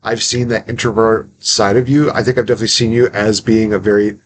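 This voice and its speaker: A man's voice: fairly low pitch, level tone, fast talker. A man hosting an interview, chatting in a sympathetic, conversational way. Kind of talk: casual interview talk. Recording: clear background noise.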